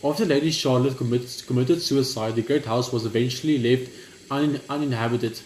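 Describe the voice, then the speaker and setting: A young man giving casual gameplay commentary and reading aloud, halting and stumbling over words as he goes. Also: medium pitch. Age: young adult. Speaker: gaming video host. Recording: roomy echo, clear background noise.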